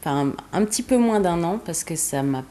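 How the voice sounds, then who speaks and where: A woman's voice: lowish voice, lively intonation, normal speed, dark tone. A young woman from eastern France, a fashion designer being interviewed, who speaks casually with many hesitations and fillers.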